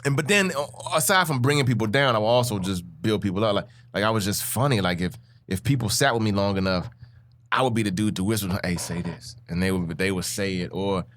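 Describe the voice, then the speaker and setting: A young man from the Bahamas tells stories as a guest comedian, with a lowish voice. He acts out the voices of people from his childhood. Faint noise sits behind him. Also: quick pace, fairly bright tone. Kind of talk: casual storytelling on a podcast.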